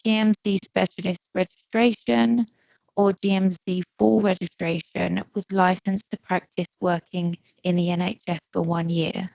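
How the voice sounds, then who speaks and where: A woman's voice: lowish voice, fairly even intonation, normal speed, creaky, a little mellow, no echo, muffled recording. A woman hosting a live online question session, politely reading out questions and guiding participants with some hesitation. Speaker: moderator of online Q&A.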